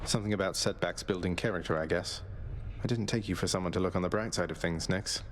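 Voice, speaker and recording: Man playing a low-voiced, wry underworld prince. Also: fast, close to an everyday voice. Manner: dry, polite, sardonic. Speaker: video game voice actor. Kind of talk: scripted video game dialogue.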